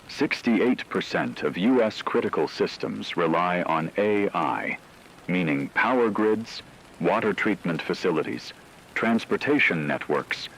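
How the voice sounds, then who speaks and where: A man voicing a grave radio news anchor, reading a scripted emergency broadcast in a formal, measured way. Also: sits low, fairly bright tone, full character voice, rough recording. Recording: radio-style broadcast with faint hiss, rain-like noise.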